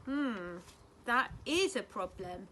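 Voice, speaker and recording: A woman's voice: medium pitch, lively intonation, slow pace, a bit bright, a little hiss or hum. A woman reading a storybook, voicing a polite, fussy elderly lady in a prim, fluttery way. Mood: musing.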